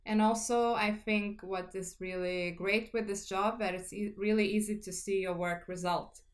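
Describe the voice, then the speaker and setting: A woman, a guest speaking about her communications work in a lively, upbeat way with many fillers over a video call. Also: mid-range voice, moderate pace. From Lithuania. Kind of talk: casual interview conversation.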